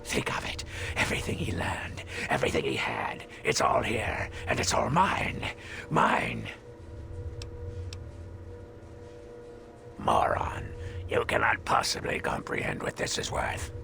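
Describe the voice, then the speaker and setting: An actor playing a bitter, vengeful and ancient man in a video game, sneering and snarling with theatrical contempt in a gravelly voice. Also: fairly low pitch, huge pitch swings, measured pace, bright, forceful tone, slight background noise, full character voice. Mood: gloating, triumphant. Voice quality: gravelly, creaky. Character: bitter, vengeful centuries-old man, contemptuous and foul-mouthed. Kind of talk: video game character acting. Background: game music.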